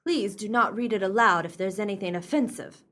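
A woman's voice: medium pitch, brisk, fairly bright tone, lightly acted. A woman acting a disapproving, easily shocked society lady in a radio play, stiff and formal. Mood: fussy.

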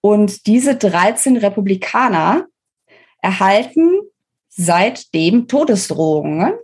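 A woman speaking as an interview guest, giving opinionated, explanatory political analysis in a conversational way, pausing between phrases. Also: mid-range voice, lively intonation, darkish tone, slightly rough.

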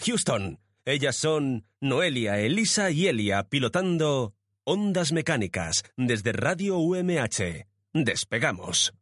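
Man announcing with a highly animated delivery. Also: slow pace, no echo. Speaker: announcer of the show's intro. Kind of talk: scripted radio intro announcement.

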